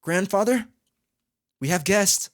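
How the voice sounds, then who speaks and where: A man's voice: high-pitched, expressive, slow, voice pushed up. A man voicing an anxious, polite young woman from a visual novel, speaking with careful formality. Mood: polite, gentle.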